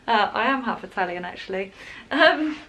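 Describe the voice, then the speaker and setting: A woman's voice: mid-range voice, lively intonation, moderate pace, fairly bright tone, poor audio. A woman talking casually to camera in a rambling vlog, laughing often as she goes. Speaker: wellbeing vlogger. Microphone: camera in front, leaning closer at times.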